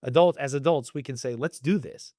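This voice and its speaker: A man's voice: mid-range voice, a little fast, mellow, dry recording, studio quality. A man in his forties speaking thoughtfully and earnestly in conversation, now and then with emphasis. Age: 40s. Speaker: interviewee. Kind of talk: reflective conversation.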